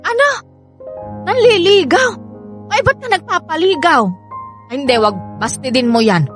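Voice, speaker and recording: A woman's voice: very high voice, animated, soft background music. An actress playing a nagging middle-aged mother with a Filipino accent, exclamatory and scolding, in a radio drama. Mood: shocked, scolding. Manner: exclamatory, scolding, drawn-out emphasis. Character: middle-aged nagging mother, bossy, old-fashioned, meddling.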